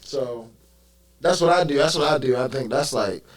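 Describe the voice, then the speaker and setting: A man co-hosting a talk show in casual chat, relaxed and loose, cursing now and then. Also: medium pitch, moderate pace.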